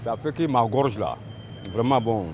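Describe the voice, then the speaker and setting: Halting man in a street interview. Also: mid-range voice, animated, a little mellow, a little hiss or hum. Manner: halting, repeats himself. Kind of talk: street vox pop.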